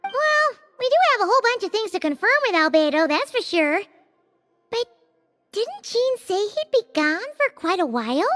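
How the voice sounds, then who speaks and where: A woman voicing a small, chirpy floating companion in a video game, asking excitable questions. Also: very high voice, normal speed, faint music behind, exaggerated voice. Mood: curious. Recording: game audio with loud score.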